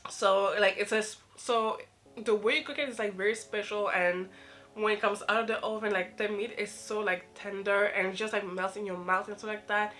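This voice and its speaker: A woman's voice: mid-range voice, fairly even intonation, moderate pace, fairly bright tone. A young woman from Mali vlogging casually, a little nasal from the flu, rambling with many fillers. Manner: rambling, filler-heavy with lots of "like" and "um". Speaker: YouTuber. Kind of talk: casual personal vlog.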